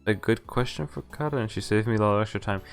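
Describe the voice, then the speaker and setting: A man's voice: on the low side, quick pace, vocal fry. A man streaming a game, reading its dialogue aloud and adding informal asides of his own. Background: game music.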